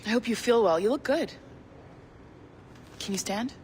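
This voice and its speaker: A caring, relieved woman, played by an actress in a game scene. Her voice is a bit high, slow, soft and reassuring, over faint noise. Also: close to an everyday voice. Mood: relieved, gentle. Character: relieved, caring woman tending an injured person. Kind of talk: video game cutscene drama.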